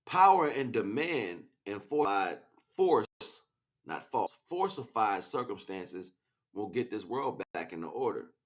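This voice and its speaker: A man with a fairly low voice hosts a casual livestream. He speaks in slangy, rambling monologue with a preachy, impassioned streak, often addressing his viewers directly as if answering them. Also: sing-song, measured pace. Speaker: livestream host.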